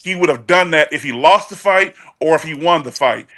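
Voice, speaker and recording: A man's voice: on the high side, expressive, a little fast, bright, forceful tone. A man in his forties from Chicago, speaking bluntly and emphatically as a guest on a call-in talk show, often restarting his sentences. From Chicago, USA. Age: 40s. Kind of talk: casual opinion chat.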